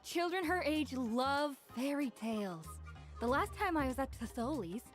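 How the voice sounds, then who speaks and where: A friendly young customer in a video game village. The voice is highish and animated, chatty with a scatterbrained hesitancy. Music is clearly audible underneath. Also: female voice, normal speed. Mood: puzzled.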